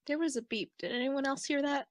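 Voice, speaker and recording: A woman's voice: sits high, a little fast, no room sound, studio quality. A woman chatting casually with friends in a group call before they record together.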